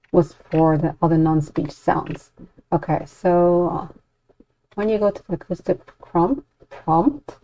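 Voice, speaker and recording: A woman giving an unscripted software walkthrough as a tutorial presenter, informally thinking aloud with some hesitation. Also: lowish voice, no room sound. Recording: dull, lo-fi, dry close recording.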